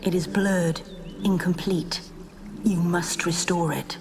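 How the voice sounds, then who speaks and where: A cryptic otherworldly servant in a fantasy game. Her voice is low, formal and solemn, over faint background noise. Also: fairly even intonation, slow, darkish tone. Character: solemn, cryptic servant guide of a dream world. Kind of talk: dramatic video game voice acting.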